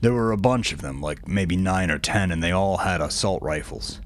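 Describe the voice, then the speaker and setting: A man's voice: deep voice, normal speed, natural voice. A man voicing a shaken, plain-spoken eyewitness recounting events in a radio interview.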